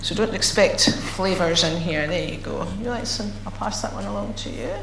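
A woman minister with a slightly croaky voice, leading a slow, measured prayer and then chatting playfully with children as she hands out water. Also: on the low side, background noise. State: croaky, recovering voice. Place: church sanctuary. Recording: echoey church sanctuary.

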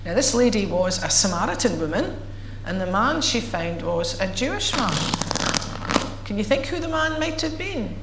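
Woman pastor, bit croaky, gentle prayer voice then chatty with the kids, big echoey church room. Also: mid-range voice, very animated, very noisy. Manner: measured and prayerful, then chatty and playful with children. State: croaky, recovering voice. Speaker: minister leading worship. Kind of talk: leading prayer and a children's talk. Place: church sanctuary.

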